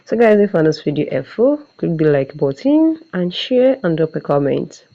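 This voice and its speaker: A man's voice: fairly high pitch, very animated, normal speed, creaky. A man presenting a step-by-step app tutorial, often repeating and restarting his phrases on a muffled recording. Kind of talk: step-by-step screen tutorial narration.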